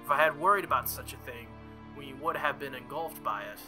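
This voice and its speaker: A man voicing a formal, proud young woman knight with a calm, measured manner in a visual novel. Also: mid-range voice, music in the background, lightly acted. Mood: apologetic, measured.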